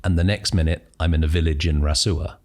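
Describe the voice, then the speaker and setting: Man, British accent, middle-aged, very deep voice, hesitant, reflective podcast guest. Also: fairly even intonation, brisk, a little mellow. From Northern Ireland. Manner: thoughtful, hesitant, many ums and restarts. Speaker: guest, impact investor. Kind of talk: podcast interview.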